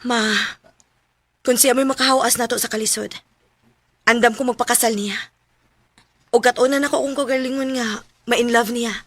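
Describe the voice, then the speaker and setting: A high-voiced, dutiful young Cebuana. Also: female voice, steady tone, normal speed, pauses often, fairly bright tone, no echo. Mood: determined. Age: young adult. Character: hardworking, self-sacrificing young female breadwinner telling her story. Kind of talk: radio drama acting and narration.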